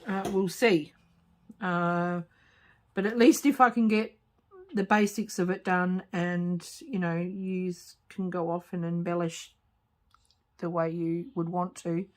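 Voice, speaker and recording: A woman with a slightly croaky voice, hosting a casual craft tutorial at home and talking hesitantly with many fillers. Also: on the low side, steady tone, takes it slowly, darkish tone. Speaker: crafting channel host. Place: home craft table, late at night.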